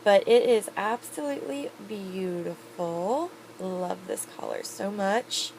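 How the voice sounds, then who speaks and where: A woman talks casually into her camera at home, upbeat and chatty, drifting through fillers as she reviews products. Her voice sits at a middling pitch, and a faint hiss runs underneath. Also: slow, creaky. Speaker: beauty vlogger.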